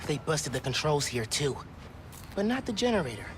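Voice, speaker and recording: An actor playing an upbeat, quick-witted teenage boy superhero in a video game cutscene, talking casually and eagerly to himself. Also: a little high, big pitch swings, over loud music. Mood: focused.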